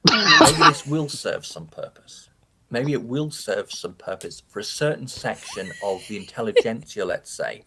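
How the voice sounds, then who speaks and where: A man muses aloud and restarts his sentences as he searches for the right words. His voice is lowish and husky. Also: pushed and bright. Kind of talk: musing monologue.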